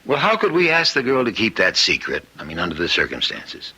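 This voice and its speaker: An actor playing a brisk, pragmatic hospital doctor in an old-time radio drama. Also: male voice, on the low side, wildly swooping pitch, sharp tone, breathy edge, exaggerated voice. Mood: firm, concerned.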